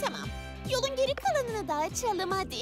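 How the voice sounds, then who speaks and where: A woman's voice: very high-pitched, sing-song, brisk. A woman voicing a cheerful eight-year-old girl hero in a children's cartoon dub, eager and exclamatory. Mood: encouraging. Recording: music under the voice.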